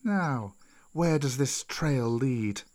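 Man playing a slow, wise old python. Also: on the high side. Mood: gentle, probing. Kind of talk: children's storybook character voice.

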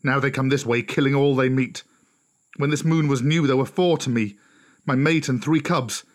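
A man's voice: mid-range voice, normal speed, close to an everyday voice. A man voicing a weary, wounded lone wolf, grim and vengeful, in a children's storybook.

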